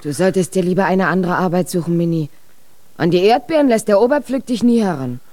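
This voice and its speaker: A mellow, frank young woman, as an actress plays her in a children's radio drama. Her voice is slightly low and very expressive, recorded close and dry, with a teasing, pitying manner. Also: brisk, no echo, natural voice. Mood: advising. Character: frank young woman, kindly but dismissive friend. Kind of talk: German children's radio play acting.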